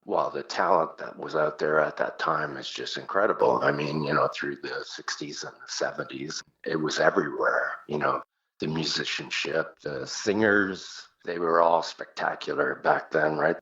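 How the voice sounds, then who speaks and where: A man's voice: rumbling low, moderate pace, pushed and bright, lo-fi sound. An older man with a Canadian accent, a singer casually reminiscing as an interview guest.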